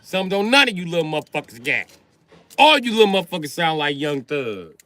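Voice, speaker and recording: A man's voice: fairly high pitch, sing-song, brisk, sharp tone, no room sound. A middle-aged man livestreaming a profane, boastful comedic rant.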